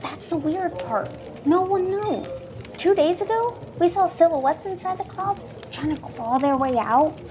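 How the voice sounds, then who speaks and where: Woman playing bubbly, very high-voiced young townswoman. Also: lively intonation, unhurried, background noise. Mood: mysterious. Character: bubbly, chatty young townswoman in a fantasy adventure. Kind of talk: fantasy audio drama roleplay.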